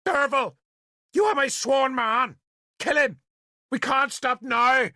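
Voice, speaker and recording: Man as a fierce pagan priestess, strained high pitch, shrill and sneering, forceful edge, dramatic audiobook acting. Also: slow, dry recording, studio quality, exaggerated voice. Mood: frenzied screaming. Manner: shrill, screaming, sneering, belligerent. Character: fierce, shrieking, fanatical young pagan priestess woman.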